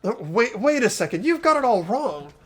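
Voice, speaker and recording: A woman's voice: a little high, very animated, normal speed, faint music behind. A woman playing an earnest, flustered teenage student in a game, stammering and pleading urgently. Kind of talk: hobby game voice acting.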